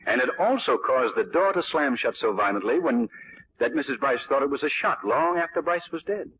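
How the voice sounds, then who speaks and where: A man playing a suave, self-assured gentleman detective in 1940s radio drama, urbane and explanatory. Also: mid-range voice, animated, a little fast, husky. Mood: amused, explaining. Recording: dull old 1940s radio recording.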